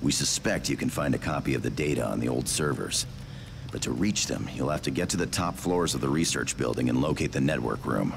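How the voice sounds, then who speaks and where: An actor playing a gruff, grave and urgent resistance fighter in a video game cutscene, briefing in a measured, gravelly voice. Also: male voice, background noise. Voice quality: deep, gravelly.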